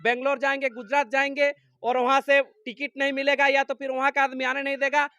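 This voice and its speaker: A man's voice: very high voice, level tone, a little fast, sharp tone, no echo, clean studio sound. A man with a Jharkhand accent, a farm worker being interviewed, making an impassioned political appeal in an insistent, emphatic way with repeated phrases.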